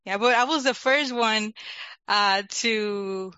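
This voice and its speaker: Guest read: young Dominican-accented woman, bright forward tone, dry close sound, talkative and quick to laugh. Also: fairly high pitch, smooth, no room sound, muffled recording. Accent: Dominican Spanish. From Dominican Republic. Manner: laughs often, talkative, restarts phrases. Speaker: guest, product manager. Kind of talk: casual interview chat.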